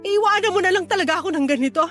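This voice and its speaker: A woman's voice: extremely high pitch, racing, fairly bright tone. A woman playing a hurt, indignant middle-aged wife and mother in a radio drama, pleading and reproachful, with a Filipino accent. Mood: indignant, angry. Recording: faint music bed behind voice.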